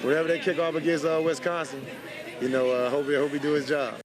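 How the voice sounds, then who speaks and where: A man giving a casual, halting, repetitive interview answer as an athlete. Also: on the high side, level tone. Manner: halting, repetitive, peppered with "you know". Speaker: professional football player being interviewed. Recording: outdoor interview over busy crowd noise.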